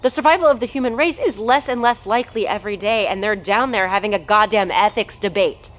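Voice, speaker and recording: A woman plays a callous, arrogant doctor in charge of a research unit. She is haughty, cutting and impatient, stressing her words in an average-pitched voice. It is scripted audio drama dialogue. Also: a little fast, lightly acted. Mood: angry, exasperated.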